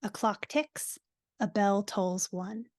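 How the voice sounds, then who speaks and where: A woman's voice: mid-range voice, takes it slowly, darkish tone. A woman reading stage directions as the narrator of a live dramatic reading.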